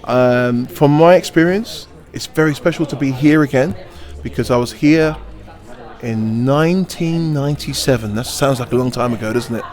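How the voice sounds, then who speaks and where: A man's voice: mid-range voice, moderate pace, a little mellow, soft background music. A middle-aged man, a pantomime actor, giving playful answers in an interview, joking and laughing often in a theatrical way.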